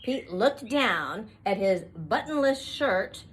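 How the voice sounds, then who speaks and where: A middle-aged woman with a fairly high, very animated voice reads a children's story. She takes her time, stretching questions and exclamations in a singsong storytime style. It is recorded outdoors with faint noise behind her. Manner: expressive, drawn-out questions and exclamations. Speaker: teacher reading a picture book to young children. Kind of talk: animated children's read-aloud with singsong refrains. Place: outdoor covered patio.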